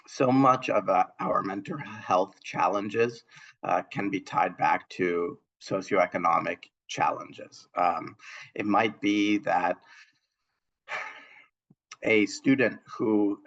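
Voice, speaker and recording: A young man speaking as a panelist in earnest, measured remarks, with frequent fillers and restarts, heard over a video call. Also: sits low, vocal fry, a bit bright. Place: home room on a video call. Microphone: video call mic. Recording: dull lo-fi video call audio.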